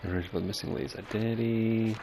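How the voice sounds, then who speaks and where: A man's voice: on the low side, steady tone, brisk, mellow, faint music behind. A man playing through a game, reading dialogue aloud with casual asides and some stumbles. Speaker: gamer making a playthrough. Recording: clearly noisy background.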